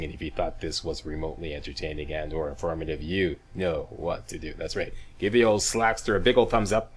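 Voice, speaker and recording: A man's voice: low-pitched, breathy edge. A man doing casual, chatty video game commentary, guiding viewers step by step as a walkthrough host.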